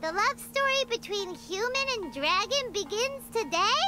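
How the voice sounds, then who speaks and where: A childlike fairy sidekick from a video game. The voice is piercingly high and chirpy, swinging up and down with excitable exclamations. Loud music runs underneath. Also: female voice, measured pace. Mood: dramatic reading. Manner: squeaky, chirpy, exclamatory, refers to self by name. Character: tiny, childlike, excitable fairy companion girl. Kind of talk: scripted video game dialogue. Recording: prominent background music under the dialogue.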